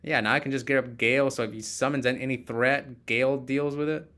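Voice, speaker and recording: A man's voice: medium pitch, fairly even intonation, fairly quick, bright, forceful tone. A man casually commentating a card game he is playing live, thinking aloud and chatting with viewers as he goes.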